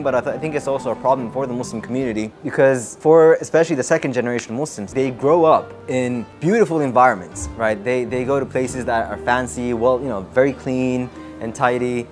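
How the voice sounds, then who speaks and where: Young man from Japan, measured interviewee, mid-pitched, music bed underneath. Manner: thoughtful, measured, with fillers and restarts. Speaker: interviewee, religion student. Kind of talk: reflective interview answers.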